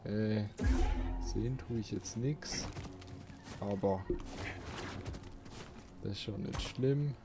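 A man's voice: on the low side, slow, gravelly, long pauses, over music. A man giving casual let's play commentary, thinking aloud and muttering questions to himself.

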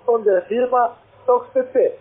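A male sales caller speaks in a high, husky voice, pitching over the telephone. The sound is narrow and tinny. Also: measured pace. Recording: tinny, narrow phone line.

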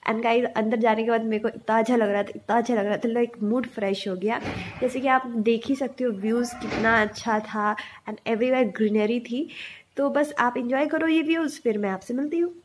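A woman's voice: on the high side, normal speed, slight background noise. Chatty young woman food vlogger. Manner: chatty and enthusiastic, mixing in English words. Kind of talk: casual food vlog narration.

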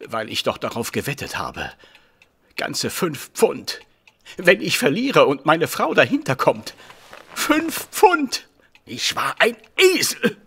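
An actor playing an excitable, blustering police inspector with a husky voice in a German detective radio drama. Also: male voice, a little high, slow pace, heavily acted. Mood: rueful, agitated. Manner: excitable, blustering, stumbling over hard words. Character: flustered, eager male police inspector, easily excited.

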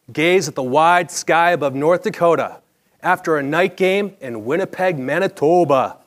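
Middle-aged american guy doing a scripted true story on stage, really expressive pitch, broadcaster delivery. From Chicago area, United States. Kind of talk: scripted personal narration read on stage. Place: theatre stage before a live audience. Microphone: clip-on lapel mic.

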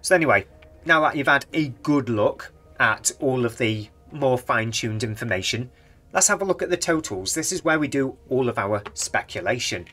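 A man's voice: medium pitch, animated, moderate pace, bright, forceful tone, faint music behind. A young British man in his twenties, casually rambling through game market commentary from a small home room, his voice slightly worn. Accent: British English. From Britain. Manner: rambling, chatty, speculative. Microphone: desk microphone near face.

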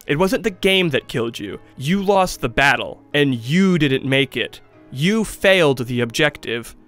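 A man's voice: fairly high pitch, fairly bright tone. A man, giving emphatic, conversational scripted commentary as a video essayist in a studio. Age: 20s. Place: small studio with acoustic foam. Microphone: studio microphone. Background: music.

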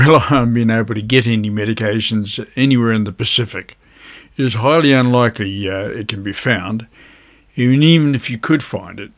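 A man in his seventies speaks in a fairly low voice. He addresses the camera like an avuncular instructor, measured and conversational as he explains things to viewers. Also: a bit bright. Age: 70s. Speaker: video host, ham radio hobbyist. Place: indoors at a desk, virtual backdrop.

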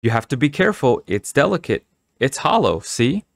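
A man voicing an energetic, insistent middle-aged mother in a storybook, with a brisk, emphatic manner. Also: mid-range voice, gravelly, dry recording, studio quality, voice pushed up. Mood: proud.

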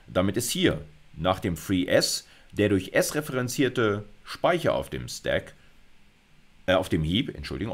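A middle-aged man lecturing at university level in an explanatory screencast, informal and emphatic, with frequent check-in words. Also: low-pitched. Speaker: university computer science lecturer. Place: indoors, recorded screencast.

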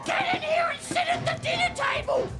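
A man's voice: very high voice, fairly even intonation, normal speed, bright, forceful tone, raised voice, soft background music. A man voicing a furious, nagging cartoon housewife, shrieking at the family.

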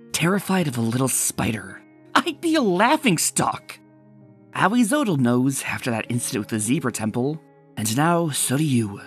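A woman reading a comic aloud and voicing the heroic adventurer in an earnest, storytelling way. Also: a bass voice. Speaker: comic dub reader.

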